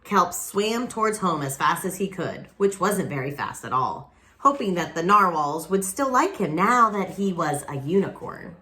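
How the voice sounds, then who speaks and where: A woman reading a picture book aloud expressively, with dramatic pauses and casual asides to young listeners. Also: on the low side, expressive, sharp tone. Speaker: children's picture book reader.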